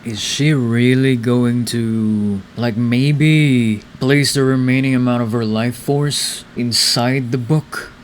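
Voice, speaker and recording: A man's voice: medium pitch, fairly even intonation, slow, few pauses, slight background noise. A man giving casual, informal reaction commentary on a game story, musing and repeating himself thoughtfully as he goes.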